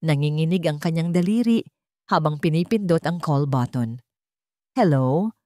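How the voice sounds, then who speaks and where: A woman's voice: low voice, normal speed, mellow, dry recording, clean studio sound. A woman storyteller reading a scripted dramatic story.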